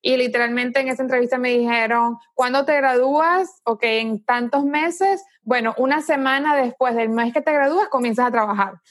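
A woman in her thirties from Venezuela, with a Venezuelan Spanish accent, telling her story as an interview guest and pausing with "eh" and "¿no?" tags. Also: sits high, no echo, studio quality. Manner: thoughtful storyteller, frequent "¿no?" tags and "eh" pauses. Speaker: tech startup founder, guest. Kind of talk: conversational interview answers.

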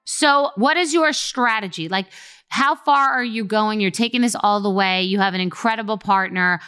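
A woman's voice: medium pitch, normal speed, pushed and bright, smooth, faint music behind. A woman co-hosting a podcast in casual chat, talking frankly and often restarting her sentences. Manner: chatty, many restarts and self-corrections. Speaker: podcast co-host.

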